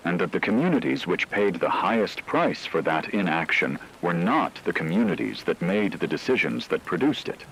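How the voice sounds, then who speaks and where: A man playing a solemn radio broadcaster delivering a measured, formal scripted monologue in an apocalyptic radio drama. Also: sits low, a bit bright, a little hiss or hum, poor audio. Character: grave male radio broadcaster bearing witness to global collapse.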